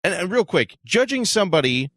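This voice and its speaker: A man's voice: sits high, very animated, a little fast, fairly bright tone, dry recording. A man co-hosting a talk show in casual chat, with an incredulous, sweary manner.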